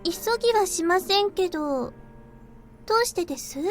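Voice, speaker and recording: Very high-pitched, cutesy young maid. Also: female voice, expressive, fast. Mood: polite, earnest. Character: cheerful, childish-faced petite young adult maid woman, polite. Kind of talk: Japanese visual novel voice acting. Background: background music.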